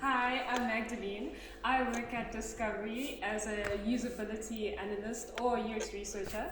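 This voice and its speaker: A South African-born woman, a guest in a casual group chat, relaxed and brief. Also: fairly high pitch, moderate pace. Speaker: guest, UX researcher. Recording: distant mic, echoey hall, background noise.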